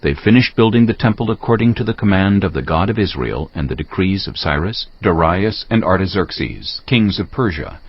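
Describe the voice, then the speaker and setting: Male scripture narrator, very low and husky, formal storyteller with lively intonation. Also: moderate pace, hardly any pauses. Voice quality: gravelly, husky. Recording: slightly dull, lo-fi audio.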